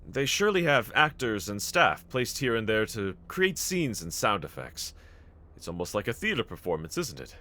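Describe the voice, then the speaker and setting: A man playing a brave, tender young swordsman in an anime audio roleplay, speaking gently and earnestly to a companion in formal phrasing. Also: lowish voice, heavily acted. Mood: calm, reassuring.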